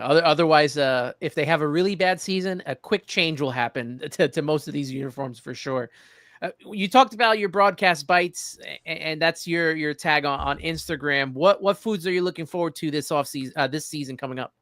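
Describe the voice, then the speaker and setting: A man hosting a casual sports interview, speaking conversationally with somewhat halting phrasing. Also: on the high side, a bit bright, no room sound, clean studio sound. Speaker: sports show host, interviewer.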